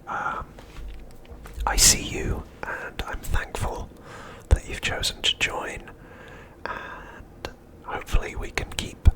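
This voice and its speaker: A man hosting ASMR in a breathy whisper, speaking in a gentle, soothing and reassuring manner. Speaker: ASMR channel host.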